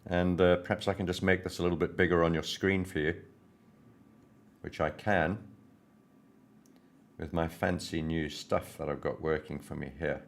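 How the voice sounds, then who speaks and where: Man, hoarse voice, very low pitch, friendly rambling livestream host, trading news chat. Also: flat delivery, moderate pace, long pauses. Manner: friendly, greets viewers by name, rambling with fillers. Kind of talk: casual live-stream chat and market commentary.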